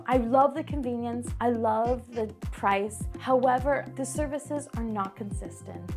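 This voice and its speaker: A woman, hosting a casual opinion vlog to camera in a chatty, emphatic way. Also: fairly high pitch. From Canada. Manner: chatty, emphatic, upbeat sign-off. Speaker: vlogger host.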